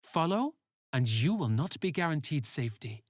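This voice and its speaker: A narrator voicing a stern, authoritative woman who leads a sci-fi team, brisk and blunt. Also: medium pitch, sing-song, dry recording, clean studio sound. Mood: commanding.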